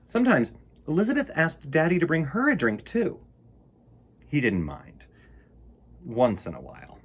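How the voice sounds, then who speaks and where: A man's voice: fairly high pitch, huge pitch swings, moderate pace, breathy edge. A man reading a children's picture book aloud with playful, theatrical emphasis and casual asides. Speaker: children's storytime reader.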